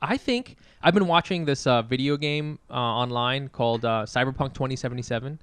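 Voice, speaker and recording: A man's voice: medium pitch, brisk, noisy background. A man co-hosting a podcast in casual comedic chat, informal, emphatic and free with swearing. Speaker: podcast co-host.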